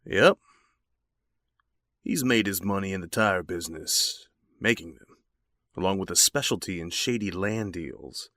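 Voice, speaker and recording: A man playing a jumpy, guilt-ridden accomplice to murder in a horror audio drama, with an excitable, theatrical delivery. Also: low-pitched, a little slow, pauses often, no room sound, studio quality. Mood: confiding.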